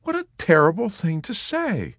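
A man's voice: on the high side, very animated, normal speed, dry recording, clean studio sound, voice pushed up. A man voicing a prim young woman nurse who turns indignant and then pleads in a quavering voice. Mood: shocked.

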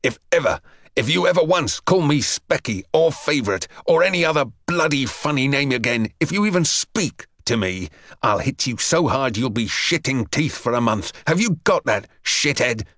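A man's voice: medium pitch, lively intonation, moderate pace, bright, forceful tone, no room sound. A man voicing a small schoolboy turned hard and menacing in an audiobook story, with a gritty, threatening growl.

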